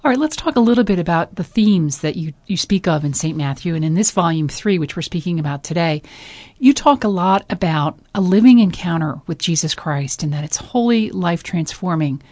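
A woman hosting an interview, who leads her guest through the conversation with clear, measured questions. Her voice sits a little low for a woman. The sound is dry and close. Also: expressive, darkish tone, dry recording. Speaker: radio interview host.

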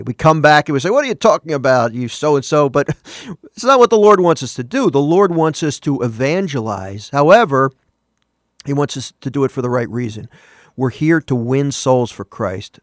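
A man in a middle register talks earnestly into a dry, close studio mic, with almost no room sound. He reflects on faith in a relaxed, conversational way, stopping to correct himself and turning to ask the listener questions. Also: mid-range voice, no room sound. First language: not Spanish. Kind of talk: morning radio reflection.

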